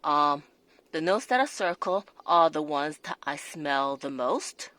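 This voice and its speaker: A woman's voice: sits low, animated, unhurried, a bit bright, no room sound. A woman with a non-native accent reviewing perfume in a casual, hesitant way, full of fillers and restarts. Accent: non-native English accent. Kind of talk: casual product review vlog.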